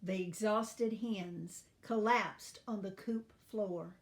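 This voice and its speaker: A woman storyteller reading expressively for children in a deliberate, teacherly manner. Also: mid-range voice.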